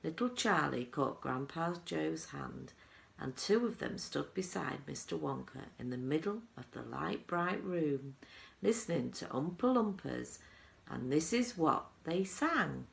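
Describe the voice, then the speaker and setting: A woman reading a children's book aloud in an expressive storytelling style, as a teaching assistant would for pupils. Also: sits low, measured pace, gravelly.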